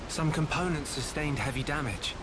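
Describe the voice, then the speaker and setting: A man's voice: fairly high pitch, moderate pace, noisy background, natural voice. A man playing an earnest, capable young hero in a video game cutscene. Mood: calm explaining.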